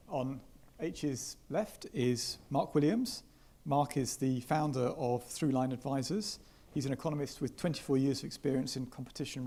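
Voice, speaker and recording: A man moderates a tech conference panel in a mellow, relaxed voice. He speaks in a measured, thoughtful way, pausing on fillers and restarting phrases as he goes. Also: mid-range voice. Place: conference hall with live audience.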